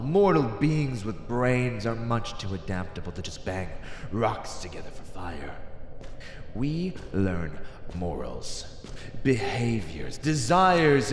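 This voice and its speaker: Man playing an arrogant villain, slow and theatrical. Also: lowish voice, echoey room, long reverb tail, slight background noise. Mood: smug lecturing. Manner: mocking, condescending, theatrical, drawn-out emphasis. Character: arrogant, cynical male fantasy villain taunting a hero. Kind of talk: theatrical ASMR villain roleplay monologue.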